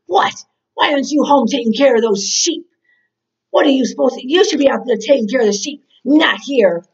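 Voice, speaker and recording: A woman voicing a furious older brother, scolding in a hurried, scornful way. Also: high-pitched, animated. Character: angry scolding older brother of a young shepherd. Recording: dull, distant-mic recording.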